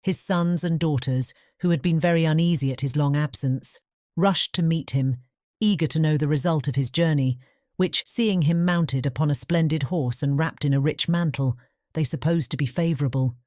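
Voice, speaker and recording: A woman's voice: low-pitched, normal speed, warm tone, no echo, clean studio sound. A woman narrating a fairy tale audiobook from a script.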